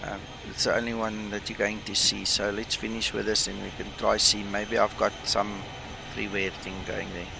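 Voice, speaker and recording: A man's voice: fairly low pitch, flat delivery, normal speed, creaky, background noise. A man from South Africa with an Afrikaans-rooted South African accent, chatting casually with viewers while streaming a flight sim, full of fillers and greetings. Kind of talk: casual live-stream chat.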